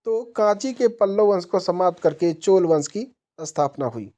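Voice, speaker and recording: Mellow-voiced woman teaching. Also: lowish voice, lively intonation, moderate pace. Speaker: exam-prep teacher. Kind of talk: question-and-answer quiz lesson narration.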